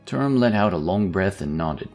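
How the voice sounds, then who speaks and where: A man with a very low voice narrates a scripted fantasy tale. He reads in a storyteller's register, with music playing faintly behind him. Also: expressive, normal speed, a little mellow. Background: background music.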